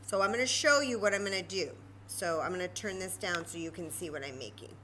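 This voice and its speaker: A woman's voice: fairly low pitch, animated, a little fast, bright, forceful tone, slight background noise. A woman hosting a children's library story time and craft lesson, explaining simply step by step in an informal, chatty way.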